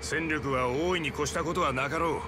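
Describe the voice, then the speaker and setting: A man playing a gruff old warrior in a Japanese video game. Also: fairly high pitch, a little fast, natural voice. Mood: gruff, proud. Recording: loud ambient noise behind.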